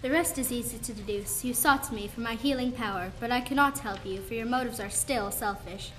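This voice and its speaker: Stern ancient female sage acted by a teenage girl, fairly high voice, portentous and formal, music under her. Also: a little fast, a bit bright, natural voice. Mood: grave, reproachful. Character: stern, wise old woman mystic master. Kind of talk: school radio play acting.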